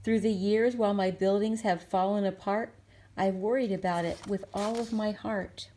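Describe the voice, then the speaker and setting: A woman's voice: medium pitch, fairly even intonation, normal speed, mellow, natural voice. A woman playing a stubborn male factory owner from a storybook, telling his tale in emphatic, rhythmic rhyme. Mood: regretful.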